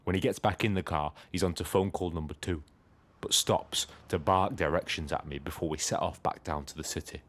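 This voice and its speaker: A man with a British accent playing a lonely, watchful taxi driver who narrates an audio drama in a brooding, measured way. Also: low-pitched, steady tone, fairly bright tone. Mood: brooding, observant. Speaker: narrator and lead character. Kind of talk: scripted first-person audio drama narration.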